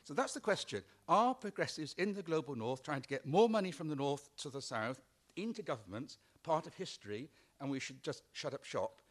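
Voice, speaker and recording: A man's voice: fairly high pitch, animated, a little fast. A man putting an argumentative question to a panel. He is rhetorical and keeps checking in with "yeah?". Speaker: conference participant.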